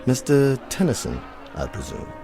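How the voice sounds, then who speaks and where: An actor playing a self-assured older colonel with a courtly, dry manner in a radio drama. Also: male voice, medium pitch, sing-song, normal speed, over music. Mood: polite.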